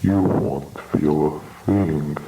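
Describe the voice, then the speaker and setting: A man voicing a sinister monster rabbit in an analog horror series, coaxing and menacing in a falsely gentle way. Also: a bass voice, drawn-out words, full character voice. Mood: softly menacing. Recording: echoey, noisy horror-video audio.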